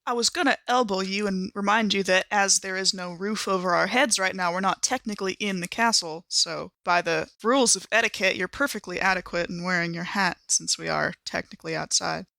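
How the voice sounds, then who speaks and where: A woman playing a tabletop game, chatting casually and playfully in an animated, giggly manner. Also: mid-range voice, fairly quick, gravelly, hardly any pauses, dry recording.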